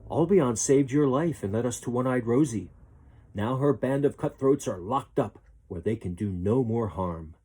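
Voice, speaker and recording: A man voicing a caring but firm storybook princess, speaking in a brisk manner. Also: medium pitch, expressive, dark tone, breathy edge. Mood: reassuring. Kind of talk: storybook character reading.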